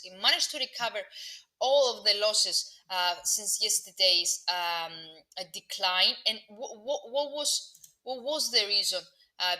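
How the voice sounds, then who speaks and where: A young Greek Cypriot woman walks viewers through live market commentary in a very bright, crisp-toned voice. She hesitates often, filling gaps with ums and restarting phrases, and breaks off to address the audience directly. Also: medium pitch. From Cyprus. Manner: hesitant, many fillers and restarts, chatty asides to viewers. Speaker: market analyst presenting a live webinar.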